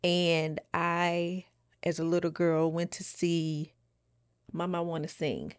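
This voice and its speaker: A woman's voice: on the low side, fairly even intonation, slow, clear, resonant tone, no room sound, clean studio sound. A woman co-hosting a podcast, asking questions in a casual conversational way. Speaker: podcast co-host.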